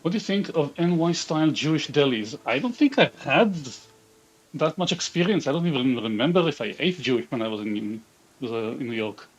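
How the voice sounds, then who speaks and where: A man's voice: fairly high pitch, expressive, fairly quick. A man from Israel with an Israeli accent, streaming live, reading chat aloud and muttering half-sentences to himself as he builds a game character. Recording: dull lo-fi stream audio.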